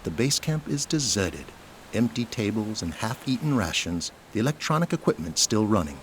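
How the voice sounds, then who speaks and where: A man's voice: lowish voice, sing-song, normal speed, a little hiss or hum. A man reading scripted dramatic narration for an audio drama.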